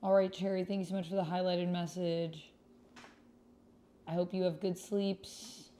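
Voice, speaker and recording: A woman's voice: fairly low pitch, little pitch movement, a little slow, dark tone, a little hiss or hum. A woman hosting a livestreamed text roleplay game, chatting directly with viewers and reading posts aloud.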